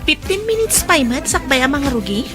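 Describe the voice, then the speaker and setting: A woman playing a chatty, excitable young woman at an event in a radio drama. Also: high-pitched, moderate pace, over music.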